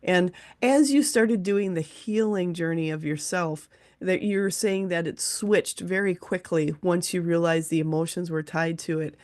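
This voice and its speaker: Low-voiced woman hosting a spiritual interview. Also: a little slow, darkish tone. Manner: earnest, rambling, relays messages from spirit guides. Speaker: host, teacher and medium. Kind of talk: casual interview chat.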